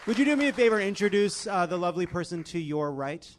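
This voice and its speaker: A man's voice: high voice, lively intonation, quick pace, over a noisy crowd, heavy background noise. A man hosting a comedy game show in front of a live audience, sarcastic and often laughing as he talks. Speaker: host.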